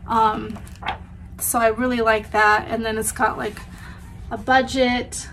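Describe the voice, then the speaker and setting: A woman's voice: a little high, flat delivery, takes it slowly, fairly bright tone, a little hiss or hum. Stuffy-sounding woman vlogging from home. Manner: chatty, rambling, with frequent "um" and "like". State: stuffy and congested with a sore throat. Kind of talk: casual chat. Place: small home bedroom, indoors. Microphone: camera in front at close range.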